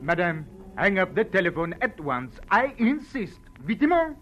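Excitable high-voiced Frenchman. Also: normal speed. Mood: officious, indignant. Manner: theatrical, flustered, courtly. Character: fussy, excitable Frenchman, officious and eager to help. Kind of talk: 1940s radio acting. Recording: old radio drama with music behind.

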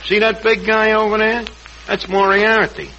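A man's voice: high-pitched, little pitch movement, normal speed, pushed and bright. An actor playing a brash, wisecracking tavern man with a New York accent who mangles fancy words, in 1940s radio comedy style. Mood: flattering, smooth-talking. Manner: streetwise slang and malapropisms. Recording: noisy, dull 1940s radio recording.

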